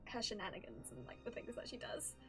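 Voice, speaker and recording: A woman's voice: mid-range voice, big pitch swings, a little fast, breathy edge. A woman livestreamer giving casual, chatty game reaction commentary, thinking aloud as she goes. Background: soft game music.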